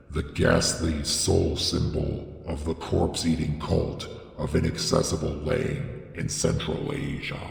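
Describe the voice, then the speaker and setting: Very deep, gravelly man narrating gothic horror. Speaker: narrator reading a horror story. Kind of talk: scripted dramatic horror audiobook narration. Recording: echoey, long reverb on the voice.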